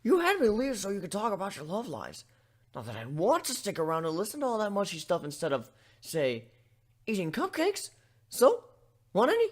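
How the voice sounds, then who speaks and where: A man's voice: sits high, huge pitch swings, normal speed, voice pushed up, heavily acted. A man voicing a nonchalant young male baby dragon in a dramatic reading, talking in a glib, dismissive way. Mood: nonchalant, cheeky. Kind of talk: dramatic fan-fiction reading.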